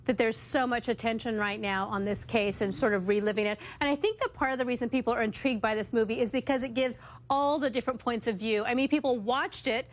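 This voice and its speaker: Woman, TV panel commentator, conversational, fairly high pitch. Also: steady tone, quick pace.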